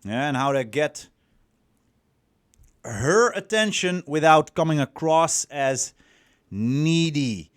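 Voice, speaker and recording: A man's voice: fairly high pitch, expressive, slow, long pauses, clear, resonant tone, dry recording, clean studio sound. A Dutch man with a Dutch accent, whose first language is Dutch, giving a rambling, profane, casual coaching talk on a livestream, full of fillers and restarts. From Netherlands. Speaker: dating coach.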